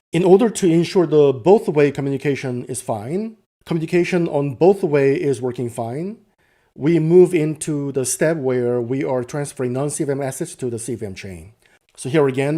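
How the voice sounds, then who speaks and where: Male presenter, mellow soft-edged tone, medium pitch, unscripted technical walkthrough, step-by-step explaining, rephrases himself. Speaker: presenter demonstrating software. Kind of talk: unscripted technical demo narration.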